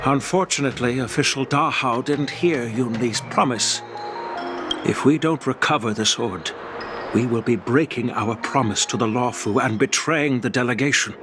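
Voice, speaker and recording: A man's voice: mid-range voice, close to an everyday voice. Actor as a grave elderly grandfather, music behind. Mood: stern, formal. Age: older. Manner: measured, formal, solemn. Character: elderly grandfather swordsmith, grave, caring, dignified. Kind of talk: scripted game dialogue. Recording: background music under the voice.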